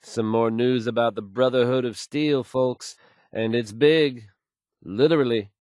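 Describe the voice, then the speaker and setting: An actor playing a self-assured, smooth male radio DJ in a game, delivering news with polished, wry showmanship. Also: mid-range voice, steady tone, unhurried, a little mellow, no room sound, clean studio sound, lightly acted. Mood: upbeat, confident. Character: confident, suave, upbeat male radio DJ.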